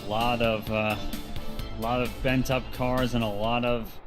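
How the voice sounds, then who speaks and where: A man's voice: mid-range voice, flat delivery, normal speed, over music. A man co-commentating a race broadcast and asking long, rambling interview questions full of fillers. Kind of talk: sim racing broadcast commentary.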